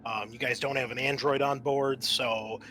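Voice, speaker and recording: A man acting as game master, explaining a tabletop roleplaying game in a halting way. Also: mid-range voice, steady tone, noisy background.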